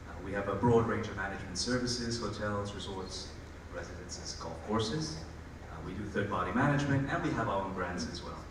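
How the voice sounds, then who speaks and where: A man gives a panel answer in a hesitant way, often pausing on fillers. His voice is far from the mic. It sounds washed in the reverb of a large hall, with noise underneath. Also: fairly low pitch. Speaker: panellist, hotel development executive. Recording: echoey hall, distant mic, background noise.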